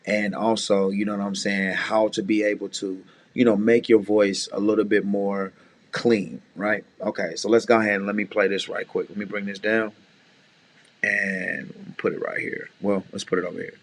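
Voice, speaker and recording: A man with a deep voice, a music producer casually talking beginners through mixing, often saying "you know what I'm saying". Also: little pitch movement, moderate pace. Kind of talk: casual screen-share tutorial.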